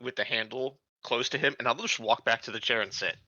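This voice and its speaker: A man narrates his own actions as a tabletop player. The voice is crisp-edged, bright and mid-pitched, with a dry, slightly dull recording. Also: a little fast, dry recording. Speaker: player in a tabletop roleplaying game. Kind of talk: casual first-person description of game actions.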